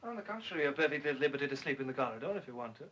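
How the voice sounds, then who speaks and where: A man's voice: mid-range voice, animated, rapid-fire, a bit bright, dull audio, lightly acted. An actor playing an impudent young Englishman with an English accent, teasing in mock-polite banter, in a 1930s film. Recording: hissy 1930s film soundtrack.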